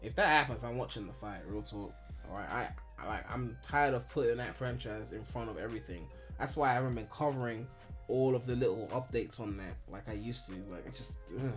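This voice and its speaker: Young man from Ghana, home streamer, loose rambling chat, mid-pitched, light music underneath. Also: fairly quick. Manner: rambling and candid, heavy on filler "like", swears freely. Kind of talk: casual live-stream chat answering viewers.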